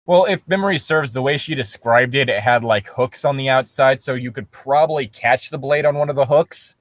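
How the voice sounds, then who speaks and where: A man's voice: mid-range voice, fairly quick, dry recording, studio quality. A man co-hosting a reading show, giving casual critical commentary on a story among friends. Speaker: co-host of a fan fiction reading show.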